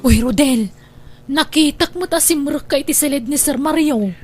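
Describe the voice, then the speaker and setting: A woman acts out a young housemaid in a radio drama, with a high voice and an Ilocano accent. She is chatty and sly, hesitating a little as she plays innocent. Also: normal speed, music in the background, natural voice. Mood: casual, probing. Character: sly young housemaid who pilfers from her employers. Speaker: drama actor.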